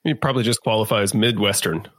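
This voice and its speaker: A man speaking as an interview guest. His voice sits fairly low but has a bright, forceful edge, with a dry close sound. He explains things in a measured, conversational manner. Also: no room sound. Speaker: podcast guest, management consultant.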